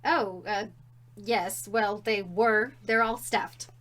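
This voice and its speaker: An actress playing a wry, practical wife and mother who makes teasing deadpan quips in an audio drama comedy. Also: mid-range voice, slow. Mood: uneasy.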